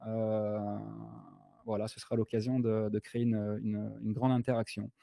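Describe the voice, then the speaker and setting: A man hosting a live online talk, introducing a guest with many fillers and pauses. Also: on the low side, little pitch movement, mellow, dry recording. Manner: halting, lots of fillers. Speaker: host.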